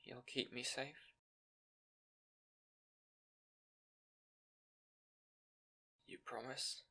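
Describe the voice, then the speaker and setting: A man playing a timid, self-doubting young scribe in an intimate fantasy audio roleplay, speaking in a nervous, halting, soft way. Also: mid-range voice, fairly even intonation, husky, exaggerated voice. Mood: shy, relieved.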